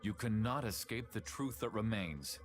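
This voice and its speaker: An actor playing a somber, regretful young man addressing his prince in a video game, solemn and deliberate. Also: on the low side, expressive, lightly acted. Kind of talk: dramatic game cutscene dialogue.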